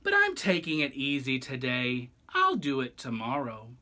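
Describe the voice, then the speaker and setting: Storybook goose, female, male reader: work-shy, breezy, grumbly, with very animated intonation. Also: medium pitch, unhurried, full character voice. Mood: apologetic. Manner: breezy, unbothered, sighing and grumbling. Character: lazy, easygoing female goose who procrastinates. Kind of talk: picture-book read-aloud.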